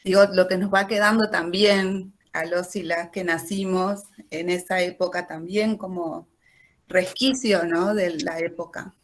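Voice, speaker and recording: A woman teacher in her forties from Jujuy, Argentina, speaking in a measured, reflective way. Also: on the low side, steady tone. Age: 40s.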